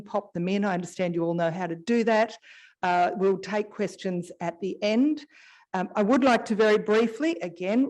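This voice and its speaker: Chatty woman moderating a panel. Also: medium pitch. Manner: warm, chatty, easygoing asides. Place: conference hall. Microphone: shared stage microphone.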